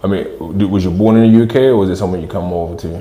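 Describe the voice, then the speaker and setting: Guy hosting a podcast chat, low mellow voice, kinda roomy echo. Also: rapid-fire, crowd noise. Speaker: podcast host. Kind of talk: casual interview. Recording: echoey room with faint noise.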